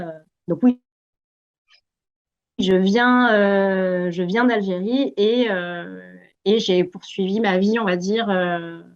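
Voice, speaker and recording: A woman's voice: medium pitch, muffled recording. A woman in her forties from Algeria, a campaigner answering interview questions earnestly, with many hesitations and restarts. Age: 40s. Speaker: Greenpeace campaigner, guest.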